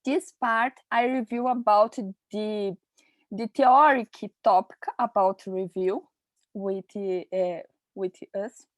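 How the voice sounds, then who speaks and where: A woman from Brazil with a Brazilian Portuguese accent, a nurse and researcher giving an online presentation in hesitant, halting English full of fillers. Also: mid-range voice, steady tone, dry recording.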